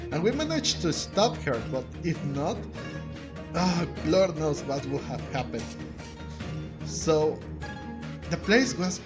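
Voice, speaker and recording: Male gaming host: casual, halting commentary, higher-than-average pitch, unhurried, light music underneath. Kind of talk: casual game playthrough commentary. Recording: faint music behind.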